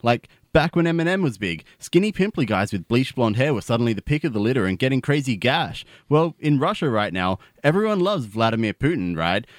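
Animated, melodramatic thirty-something man played by an actor. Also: medium pitch, a little fast, hardly any pauses, no room sound, studio quality, natural voice. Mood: catty. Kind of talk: audio sitcom dialogue.

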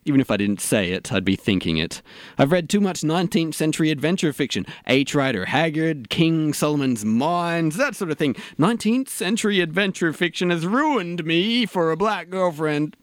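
A man's voice: a little high, animated, moderate pace, no echo, studio quality, close to an everyday voice. A man playing a lonely, self-mocking middle-aged dungeon master in an audio sitcom, wry in manner. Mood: wry confession.

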